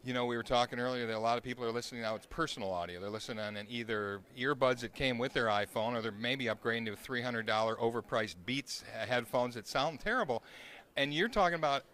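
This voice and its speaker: A man's voice: medium pitch, quick pace, a bit bright. A man works as an on-location interviewer, speaking in a mid-range voice. He puts questions to his guest in a conversational way as the host of the segment.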